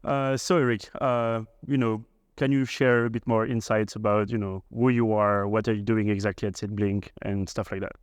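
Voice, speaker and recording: A man's voice: fairly low pitch, level tone, normal speed, hardly any pauses, clear, resonant tone, no echo. A man hosting a podcast, introducing and questioning a guest with frequent fillers. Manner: frequent "uh" and "you know" fillers. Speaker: podcast host. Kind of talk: podcast interview.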